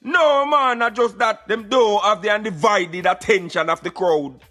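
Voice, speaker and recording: A man's voice: high-pitched, moderate pace, sharp tone, faint music behind. A Jamaican man giving opinionated conversational commentary on a radio show, in patois with emphatic interjections.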